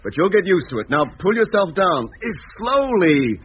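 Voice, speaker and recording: A man acting as a calm, reassuring ship's doctor, measured and instructive, in a 1950s radio drama. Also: high-pitched. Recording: muffled, hissy 1950s radio recording.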